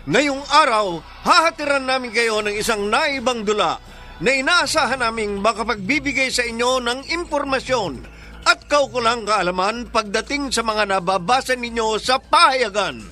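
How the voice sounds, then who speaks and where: A man's voice: very high-pitched, pushed and bright. A man announcing a radio drama in a grand, solemn, declamatory scripted style. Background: music.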